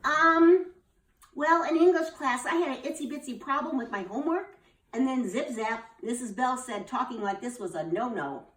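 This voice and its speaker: A woman's voice: high-pitched, moderate pace, fairly bright tone, distant mic, voice pushed up. A woman voicing a chirpy young schoolgirl with a breathless, sing-song manner. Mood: nervous. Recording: roomy, from off the mic.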